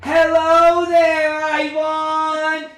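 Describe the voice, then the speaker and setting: A man voicing a jolly children's television puppet with exaggerated, halting, drawn-out greetings. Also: extremely high pitch, monotone, a bit bright, voice pushed up, heavily acted. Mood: exuberant. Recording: roomy, distant mic, faint noise.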